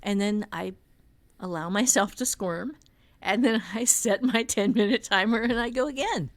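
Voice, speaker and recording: Woman podcaster chatting, candid n emphatic, pokes at herself, mid pitch, very dry sound. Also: normal speed, dry recording. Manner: candid, emphatic, self-deprecating. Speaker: author and teacher, podcast co-host. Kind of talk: casual chat.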